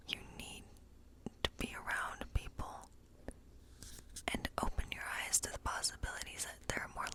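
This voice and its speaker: A woman whispering softly and breathily in an ASMR role play as a friendly witch, reading tarot in an informal, encouraging, rambling way. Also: low-quality recording.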